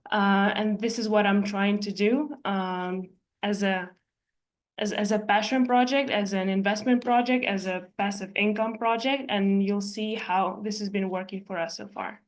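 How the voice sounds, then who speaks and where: A woman gives a presentation as an architect and investor. She talks in a measured, explanatory way, often pausing between phrases, with her voice sitting at an ordinary middle pitch. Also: steady tone. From Ukraine. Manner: measured, explanatory, with frequent hesitations. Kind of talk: presentation walking through slides.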